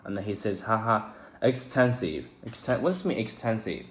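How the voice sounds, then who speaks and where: A man with a Chinese accent, a native Chinese speaker learning English, talking casually in halting, repetitive, broken phrases. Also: lowish voice, a little hiss or hum. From China. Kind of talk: casual one-on-one conversation lesson. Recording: echoey room.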